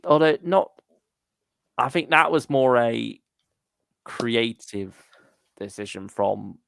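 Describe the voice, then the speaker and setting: Male co-host, gravelly and dry-sounding, thinking aloud in relaxed fan discussion, trailing thoughts. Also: mid-range voice, slow pace, dry recording. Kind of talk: casual fan chat.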